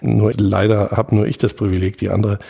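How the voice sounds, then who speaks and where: A man in his fifties from Berlin speaks as a podcast guest, thoughtful and digressive as he tells his stories. His voice is low and gravelly, with a husky, unclear edge. The tone is dark and mellow. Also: little pitch movement, brisk. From West Berlin, Germany. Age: 50s. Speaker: guest, political journalist. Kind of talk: reflective conversational storytelling.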